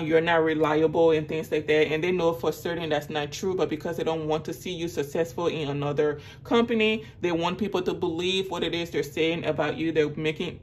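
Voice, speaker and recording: A woman giving a casual, earnest tarot reading as a monologue, cautioning the listener as she goes. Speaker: tarot reader. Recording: noticeable background noise with low rumble.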